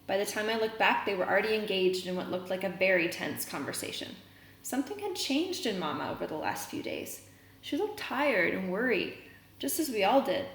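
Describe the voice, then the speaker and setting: A woman reading a children's novel aloud, pausing to explain words as a teacher would. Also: medium pitch. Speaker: read-aloud reader and teacher. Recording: roomy echo, noticeable background noise.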